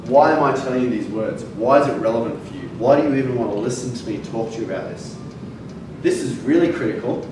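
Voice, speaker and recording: A man's voice: mid-range voice, quick pace. Man lecturing in an echoey room. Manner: emphatic, stresses words for effect, frequent fillers. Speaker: fitness educator. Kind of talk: classroom lecture. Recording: very echoey room from far off, noisy.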